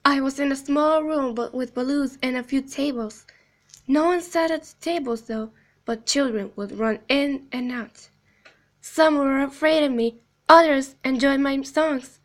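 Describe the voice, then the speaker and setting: An eerie animatronic clown girl from a video game, played by a woman, speaks in a high, girlish pitch. Her delivery is deliberate and hushed, sweet on the surface with a sinister undertone, like a secret being told slowly. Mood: wistful, eerie. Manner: slow, deliberate, hushed storytelling, dropping into whispers. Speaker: amateur voice actor. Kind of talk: video game character monologue.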